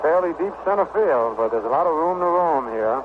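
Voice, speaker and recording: A man doing radio baseball play-by-play in a 1960s broadcast style, speaking in short clipped phrases with pauses. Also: a little high, animated. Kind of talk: 1960s radio sports commentary. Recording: noisy narrow-band vintage 1960 radio broadcast.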